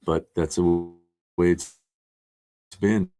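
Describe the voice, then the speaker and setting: Slow, deep-voiced older man instructor. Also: completely flat delivery. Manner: earnest, plainspoken and encouraging. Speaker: art resilience instructor. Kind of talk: casual encouraging class talk.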